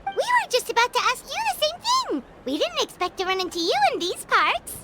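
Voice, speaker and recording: A woman voicing a tiny, chirpy floating companion creature in a game, with bright, excited exclamations. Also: very high voice, a little fast, heavy background noise, exaggerated voice. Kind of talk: game cutscene voice acting. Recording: game audio with loud music.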